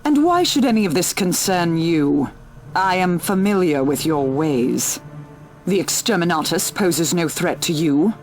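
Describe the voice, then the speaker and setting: A woman's voice: on the low side, expressive, unhurried, darkish tone, soft background music. A woman voicing a cold, threatening human inquisitor in a video game, formal and contemptuous. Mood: cold, resolute.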